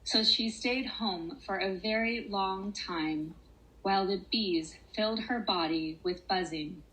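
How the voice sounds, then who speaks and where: A woman children's author reading to young listeners with deliberate phrasing and gentle pauses. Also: mid-range voice, poor audio. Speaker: children's author reading her own story. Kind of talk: storybook narration for children.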